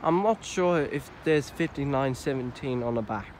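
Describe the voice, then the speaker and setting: A man's voice: mid-range voice, lively intonation, moderate pace, a little mellow. A young man presenting a train vlog outdoors on a platform, chatty and a little hesitant as he talks to viewers. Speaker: train vlogger. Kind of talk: casual vlog narration. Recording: faint outdoor noise. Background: faint outdoor ambience.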